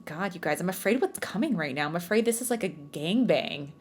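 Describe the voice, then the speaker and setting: A woman's voice: on the low side, animated, fairly quick, breathy edge. A woman podcast host who reads fan fiction aloud in a storytelling style, with casual, self-mocking joking asides. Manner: jokey, self-mocking, bantering.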